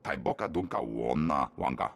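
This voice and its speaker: A man voicing a rough-voiced alien speaking an invented language in a video game. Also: extremely low pitch, expressive, moderate pace, fairly bright tone, exaggerated voice. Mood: matter-of-fact. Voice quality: husky, rough.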